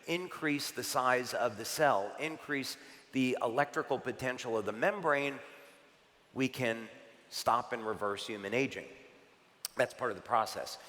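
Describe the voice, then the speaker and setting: A man from the United States giving a conference presentation at a convention, relaxed, with light asides and filler words. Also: medium pitch. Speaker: convention speaker. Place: large convention hall. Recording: reverberant hall.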